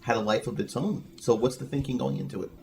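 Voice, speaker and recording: A man's voice: mid-range voice, quick pace, creaky, darkish tone. Male podcast host, mid-range voice, chatty interviewing style, light room noise behind. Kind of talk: casual interview chat. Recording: faint room noise and ambience.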